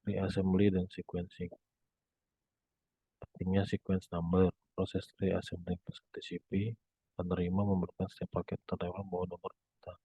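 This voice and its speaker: A young man reading a scripted academic presentation as a student, in a monotone with occasional stumbles. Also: low voice, normal speed, breathy edge, dry recording. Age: young adult. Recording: muffled phone-like narrow band sound.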